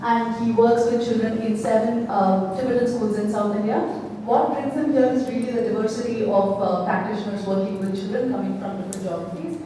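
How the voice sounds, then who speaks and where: Female participant, bright-ish pitch, calm intro; reverberant noisy hall. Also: brisk. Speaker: workshop participant. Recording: echoey hall, distant mic, noisy.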